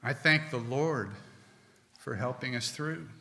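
A middle-aged man preaching a sermon from a pulpit, slow and deliberate with emphatic pauses. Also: medium pitch, gravelly, sharp tone. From United States. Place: church sanctuary with stone wall, at a pulpit. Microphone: pulpit microphone. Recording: long hall reverb.

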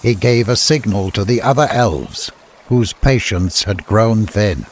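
A man's voice: lowish voice, expressive, a little slow, a little hiss or hum. A man narrating a fantasy audiobook in a scripted, dramatic storytelling style. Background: music.

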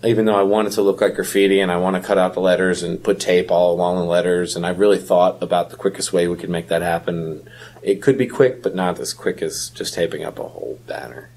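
A man with a husky voice in casual conversation as a podcast co-host. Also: low voice, steady tone, fast talker, a little mellow. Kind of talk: casual chat.

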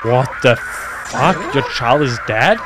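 A man's voice: medium pitch, lively intonation, slow pace, noisy background. A young man giving casual, exclamatory, sweary reaction commentary into a close desk microphone in a home gaming room. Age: young adult. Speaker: YouTube reaction host. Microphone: close desk microphone on boom arm.

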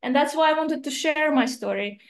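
A woman in her thirties with a Russian accent, speaking as a guest investor in a remote interview, with earnest, slightly halting phrasing. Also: high-pitched. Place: home office indoors. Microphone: laptop camera on video call. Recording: roomy video-call audio.

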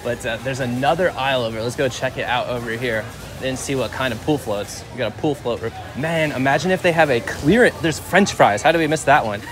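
A man's voice: medium pitch, brisk, over music. A man in his thirties hosting a casual vlog, rambling through store aisles with lots of fillers and self-corrections, recorded on a handheld camera indoors. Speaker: vlog host. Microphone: handheld camera held by the filmer.

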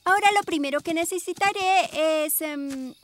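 A woman voicing a bossy, theatrical young fairy godmother in a children's cartoon, brisk and self-assured as she instructs. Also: high voice, a bit bright. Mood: businesslike. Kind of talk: animated children's cartoon dubbing in Spanish. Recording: music and jingles behind the voice.

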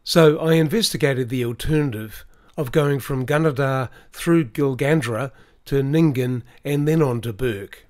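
A middle-aged man giving a calm, explanatory voice-over about planning a trip. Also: mid-range voice. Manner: measured, explanatory. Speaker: vlogger. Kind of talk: scripted voice-over narration.

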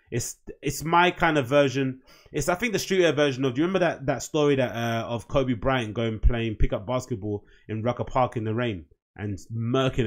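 A man, hosting a casual solo podcast and rambling from a small home room into a desk mic. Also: medium pitch. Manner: rambling, chatty, reads captions aloud in mock voices. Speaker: podcast host. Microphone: desk mic on boom arm.

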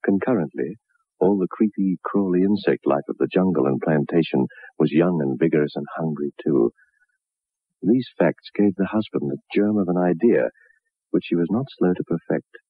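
A man narrating a 1960s radio horror drama as a grave, measured, ominous storyteller. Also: low-pitched, slightly rough, no echo. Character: grave male narrator of a macabre tale. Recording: muffled narrow-band old radio recording.

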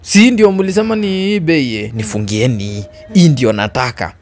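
A man's voice: medium pitch, expressive, normal speed, darkish tone. A man in his twenties with a Kenyan accent, telling stories casually and asking rhetorical questions as he explains. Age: 20s. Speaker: storyteller guest. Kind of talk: casual storytelling, code-switching chat. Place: indoors on a living-room sofa. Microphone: handheld microphone.

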